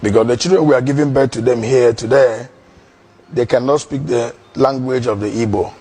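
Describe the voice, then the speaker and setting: A man with a Nigerian accent answering as a community leader, unscripted, in measured and repetitive phrasing. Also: mid-range voice, fairly even intonation, mellow. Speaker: community leader being interviewed.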